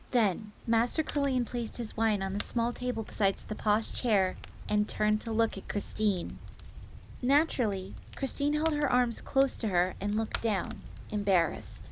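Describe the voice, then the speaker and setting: A woman's voice: medium pitch, lightly acted. A woman playing a devoted, eager fox maid who tells her story expressively in the third person, as in an audio drama. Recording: faint crackling fire noise behind voice.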